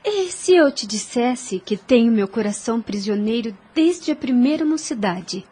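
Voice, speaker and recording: Woman acting gentle young heroine, higher voice, breathy edge, formal sentimental delivery, audio drama. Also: animated, moderate pace. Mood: wistful. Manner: earnest, formal, sentimental diction. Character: gentle, devout, hopeful young woman in love. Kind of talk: Spiritist radio drama acting.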